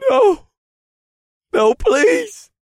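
A man's voice: very high-pitched, sing-song, drawn-out words, no echo, studio quality, pitched above the performer's own voice, exaggerated voice. A man voicing a terrified, grovelling male warlock in an audiobook, stuttering and pleading in panic. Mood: tearful terror.

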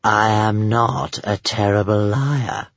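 A man voicing a sly, vain little female dragon in a storybook, with a slow purring manner. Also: fairly low pitch, big pitch swings, breathy edge, no echo, dull audio, full character voice. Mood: indignant.

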